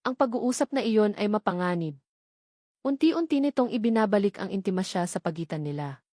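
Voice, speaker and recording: A woman's voice: medium pitch, lively intonation, brisk, dark tone, dry recording, studio quality. A woman with a Filipino accent narrating a scripted romance story as an audiobook.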